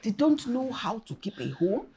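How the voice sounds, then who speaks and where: Woman as bossy Nigerian mum in a comedy skit, scolding, pitch swings wildly. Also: big pitch swings, normal speed, dark tone, a little hiss or hum. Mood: disapproving. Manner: scolding, mixes in local words. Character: domineering, disapproving middle-aged mother, sharp-tongued. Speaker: actor.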